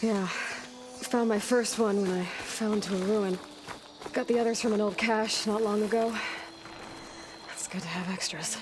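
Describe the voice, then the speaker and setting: An actress playing a young outcast huntress in a video game, practical and matter-of-fact, thinking aloud. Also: female voice, mid-range voice, fairly even intonation, normal speed, noisy background, lightly acted. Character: young female outcast hunter, capable, curious, pragmatic. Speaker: game protagonist. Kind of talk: naturalistic video game cutscene acting.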